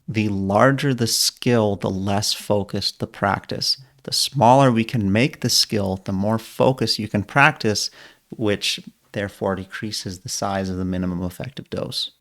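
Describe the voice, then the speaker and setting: A man speaks in a somewhat low voice, laying out a practice method one step at a time. His delivery is methodical and explanatory, like a coach teaching an online lesson. He sometimes restarts a phrase to get it right. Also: moderate pace, a bit bright. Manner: methodical, explains step by step with brief self-corrections. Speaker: learning coach.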